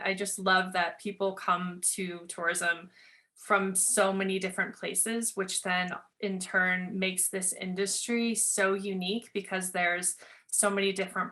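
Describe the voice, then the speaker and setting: A woman's voice: medium pitch, bright, forceful tone. A woman hosting a conversational interview podcast, speaking in an enthusiastic, effusive manner. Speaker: podcast host.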